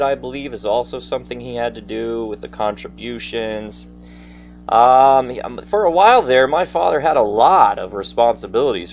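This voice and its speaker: Male casual storyteller, mid-pitched and highly animated, folksy and theatrical, talking directly to listeners. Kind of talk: casual personal storytelling monologue to an audience. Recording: faint background noise.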